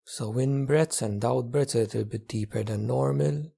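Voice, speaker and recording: A man leading a guided meditation as a teacher, speaking gently in short phrases with long pauses. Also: medium pitch, vocal fry, breathy edge. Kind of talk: guided meditation, slow spoken instruction.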